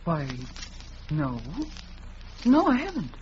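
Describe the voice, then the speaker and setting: A woman's voice: lowish voice, huge pitch swings, takes it slowly, a little mellow, exaggerated voice. An actress playing an agitated, insistent widowed lady, emphatic and melodramatic in 1940s radio style. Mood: eager, agitated. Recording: muffled, noisy old radio recording.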